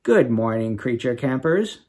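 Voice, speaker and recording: A man voicing a patient female camp counselor in a children's storybook, measured and instructive. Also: medium pitch, very animated, a little mellow. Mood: greeting.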